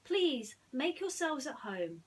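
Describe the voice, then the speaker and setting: A woman's voice: sits high, big pitch swings, slow pace, pitched above the performer's own voice, full character voice. A woman voicing a gracious but firm young storybook princess, polite and emphatic. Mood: welcoming.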